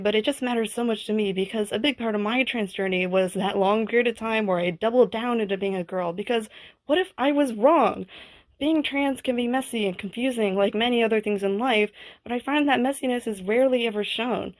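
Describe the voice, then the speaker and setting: A woman's voice: a little high, fairly quick. A woman's voice in a listener voice message, speaking earnestly and personally from prepared thoughts. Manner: earnest, heartfelt.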